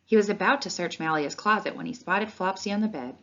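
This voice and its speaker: A woman reading a children's storybook aloud in an expressive, animated way. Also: medium pitch, brisk. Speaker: read-along reader. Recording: dull, lo-fi sound.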